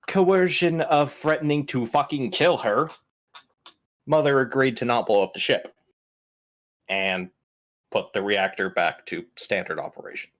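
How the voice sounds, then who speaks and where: A man's voice: medium pitch, fairly bright tone. A man casually recapping tabletop game events as a player, blunt and sardonic, with plenty of swearing.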